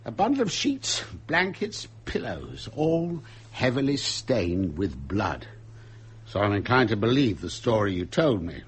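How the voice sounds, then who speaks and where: An actor playing a blustering, exasperated captain of an ocean liner in a radio drama, authoritative in manner. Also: male voice, fairly low pitch, wildly swooping pitch, unhurried, breathy edge, exaggerated voice. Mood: subdued, grudging. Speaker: ship's captain.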